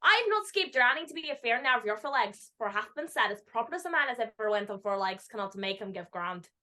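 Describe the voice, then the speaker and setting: A woman's voice: on the high side, animated, sharp tone. A woman putting on an Irish accent to voice a shipwrecked man from a play, declaiming verse in a hurry over a video call. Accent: Irish, put on. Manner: declaiming Shakespearean verse, hurried.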